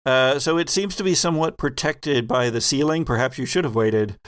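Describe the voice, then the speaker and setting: A man narrating a tabletop horror game as game master, telling it deliberately with pauses. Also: mid-range voice, fairly bright tone, smooth.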